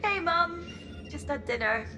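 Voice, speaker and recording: Homesick space crew girl, chatty msg home, really lively pitch, music behind. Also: unhurried. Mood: chatty, wistful. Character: affectionate, homesick female crew member on a colony ship. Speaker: actor in a video game. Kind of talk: personal message monologue, game acting.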